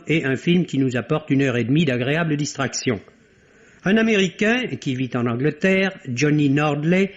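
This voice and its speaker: A man with a fairly high voice reads a scripted film review for radio. He speaks as a critic, in a measured, articulate way. The sound is a dull vintage radio recording with limited bandwidth.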